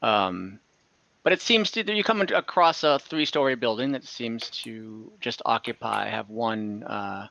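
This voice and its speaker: A man acting as game master, casually narrating a scene to friends and feeling for his words in a halting way, over an online voice chat. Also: medium pitch, expressive, gravelly, sharp tone, lo-fi sound. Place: online group voice call.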